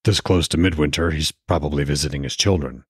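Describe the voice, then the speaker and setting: A weary old Norse god, played by a male narrator speaks in a very low, husky voice. He is stubborn and measured, with terse lines, in a dry-sounding fantasy audiobook. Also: dry recording, full character voice. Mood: firm, weary.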